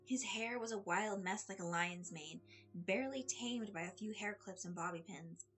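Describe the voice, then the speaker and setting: A woman reading a story aloud in an expressive audiobook style, with a slightly husky voice. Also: mid-range voice, normal speed. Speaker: fan fiction reader. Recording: music under the voice. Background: background music.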